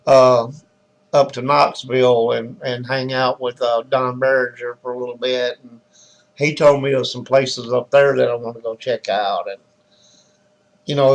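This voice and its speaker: A hoarse, raspy man with a Southern US accent, hosting a live talk show in a loose, chatty way and greeting viewers by name. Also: medium pitch, rough recording. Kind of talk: casual rambling live-stream chat.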